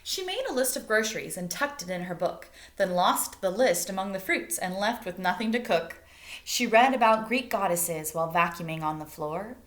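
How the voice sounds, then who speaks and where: A woman reading a picture book aloud like a teacher, expressively, pausing to explain things and put questions to young listeners. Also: medium pitch, expressive, fairly bright tone. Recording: roomy, echoing indoor sound.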